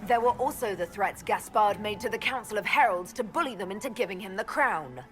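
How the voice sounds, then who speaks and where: An actress playing a composed, principled elf mage leader in a fantasy game, measured and plain-spoken. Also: female voice, medium pitch, expressive, a bit bright, soft background music, close to an everyday voice. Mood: firm. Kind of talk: game dialogue acting.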